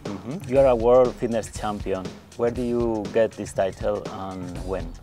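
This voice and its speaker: A man's voice: lowish voice, a little slow, mellow. A middle-aged man interviewing a guest outdoors, asking brief, polite questions in English. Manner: brief, polite prompts with short acknowledgments. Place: outdoor terrace, hillside view. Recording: music clearly heard under the voice.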